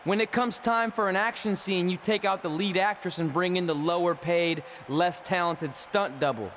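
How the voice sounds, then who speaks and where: A man's voice: high voice, moderate pace, a bit bright. A man playing a cocky yet insecure underdog wrestler in a video game, talking in a casual, wisecracking way over background noise. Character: cocky but insecure young male wrestler, the underdog hero.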